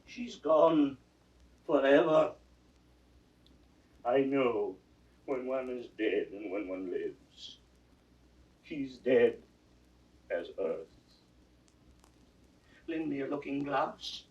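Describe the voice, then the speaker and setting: Anguished old king, slow and distant. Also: mid-range voice, expressive, darkish tone, lo-fi sound. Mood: desperate grief. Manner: slow declamation, swinging between anguished cries and broken whispers. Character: frail grief-maddened old king, imperious, failing. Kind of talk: 1960s recorded Shakespeare drama, classical stage acting. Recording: distant, noisy vintage drama recording.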